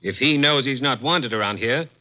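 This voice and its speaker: An actor playing a cold, petty, tyrannical executive in a 1950s radio drama, curt and domineering, on a muffled old recording. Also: male voice, mid-range voice, moderate pace, lightly acted. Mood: coldly menacing.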